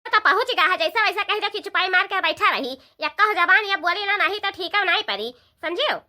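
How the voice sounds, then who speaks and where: Cartoon daughter-in-law: very high, piercing, nagging, eastern UP village accent. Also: female voice, animated, pushed and bright, heavily acted. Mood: scolding, impatient. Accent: eastern Uttar Pradesh. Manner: nagging, rapid-fire complaining in rustic dialect. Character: scolding village daughter-in-law, shrill and impatient. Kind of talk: comedy cartoon dialogue.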